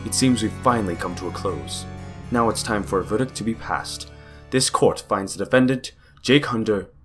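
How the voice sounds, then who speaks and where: An actor playing a stern, formal judge in an audio drama, solemn and deliberate. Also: male voice, on the low side, a bit bright, soft background music, lightly acted.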